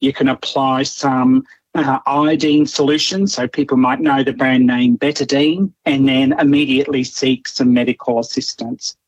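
A man, a health official calmly giving step-by-step advice in a news clip. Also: medium pitch, normal speed, husky, no echo. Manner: calm and instructive. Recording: dull, lo-fi, dry.

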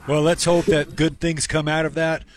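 A man's voice: on the high side, flat delivery, normal speed, a little hiss or hum. Blunt, opinionated male talk-show host.

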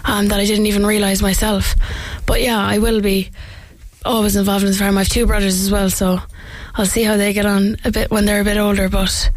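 A woman's voice: mid-range voice, little pitch movement, brisk, no room sound, rough recording. A young woman, a student from a farming family, talking casually with "like" fillers. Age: young adult. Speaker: guest, student farmer.

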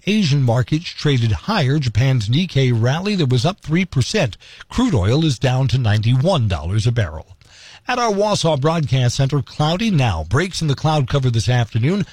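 A man's voice: mid-range voice, sing-song, moderate pace, a little mellow, no room sound. A male morning radio news host, chatty and self-deprecating, talking with a voice that is still recovering after he lost it. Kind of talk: casual radio monologue. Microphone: studio microphone.

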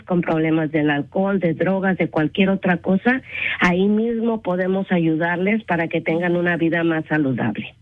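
A woman's voice: fairly low pitch, no echo. A woman calling in to a radio show, giving earnest community information. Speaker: caller. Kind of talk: phoned-in community announcement. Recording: tinny dry phone line.

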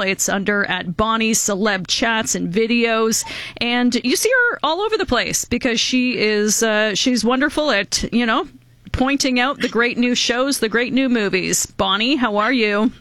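A woman's voice: a little high, fairly bright tone, dry recording. Dry, playful woman radio host. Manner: friendly, playful. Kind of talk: casual radio talk.

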